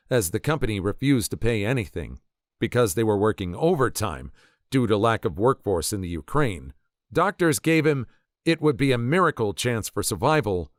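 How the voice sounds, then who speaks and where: A man reading internet stories aloud as a narrator, telling them in a lively, expressive way. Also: lowish voice, no room sound, studio quality. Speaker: story narrator.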